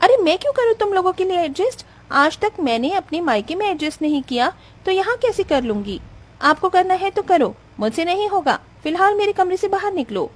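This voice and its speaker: A woman voicing a spoiled, rude young daughter-in-law who talks in a shrill, demanding, scornful way. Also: lively intonation, moderate pace, a little mellow. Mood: furious.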